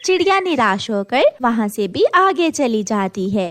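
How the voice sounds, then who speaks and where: A woman's voice: on the high side, very animated, a little slow. A woman narrating a scripted children's story as the storyteller.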